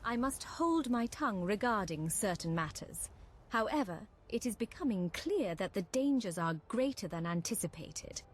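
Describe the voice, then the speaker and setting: A woman's voice: mid-range voice, lively intonation, normal speed, a little hiss or hum, lightly acted. A woman voicing a formal, devoted mage in a fantasy video game, with courteous, archaic phrasing. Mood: formal, composed. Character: formal, devoted female mage of a secretive college. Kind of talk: scripted fantasy game dialogue.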